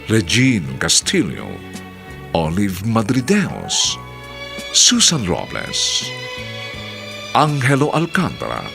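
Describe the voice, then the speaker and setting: Slow, gravelly male radio drama announcer. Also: fairly low pitch.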